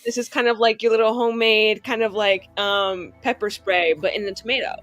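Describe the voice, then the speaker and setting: A woman's voice: mid-range voice, steady tone, fairly quick, a bit bright, dry recording. A woman playing a tabletop roleplaying game, improvising her moves in a casual, rambling way full of "like". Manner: rambling, peppered with "like".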